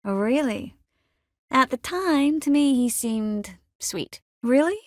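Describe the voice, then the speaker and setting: An actress playing a confident, witty sorceress in a video game, with an expressive, wry way of speaking. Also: female voice, high voice, slow pace, no echo, studio quality. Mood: wry, teasing. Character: witty, confident adult sorceress, warm but worldly. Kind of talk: dramatic video game dialogue acting.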